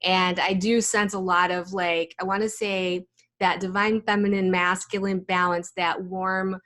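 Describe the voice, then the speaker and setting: A woman's voice: on the low side, flat delivery, unhurried, fairly bright tone. A woman interviewer chatting casually, with appreciative and loosely phrased informal talk. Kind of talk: casual chat.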